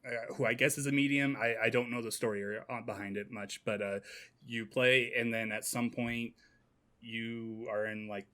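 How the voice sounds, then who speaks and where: A man with a medium-pitched voice talks as one of a podcast panel. His style is casual and conversational, with the keen manner of a fan. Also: a bit bright. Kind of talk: casual chat about games and movies.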